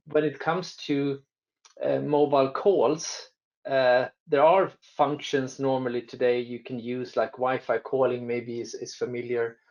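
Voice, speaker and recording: Swedish man, telecom guest, halting and restart-heavy delivery, dull call audio. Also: medium pitch, darkish tone. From Sweden. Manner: hesitant, many restarts and fillers. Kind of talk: conversational interview answers.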